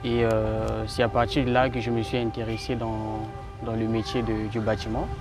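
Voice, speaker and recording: A man's voice: fairly low pitch, little pitch movement, moderate pace, over loud music. A man from the Republic of the Congo, an architecture student, answering interview questions in a thoughtful, hesitant way with many fillers. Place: outdoors in a city.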